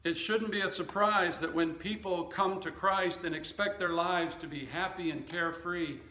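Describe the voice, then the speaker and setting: A middle-aged man preaching a sermon as a pastor, speaking in measured, deliberate short phrases. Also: sits high, steady tone, bright, forceful tone, roomy sound, low-quality recording. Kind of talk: sermon with scripture reading and anecdotes. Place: indoor church stage at a wooden lectern.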